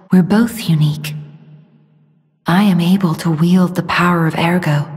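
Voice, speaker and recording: Gentle mystical young woman, sorrowful video game guide, soft airy voice, very slow solemn delivery, long reverb. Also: fairly low pitch. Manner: slow, solemn, measured, earnest. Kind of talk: dramatic video game voice acting. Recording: long reverb, music behind the voice.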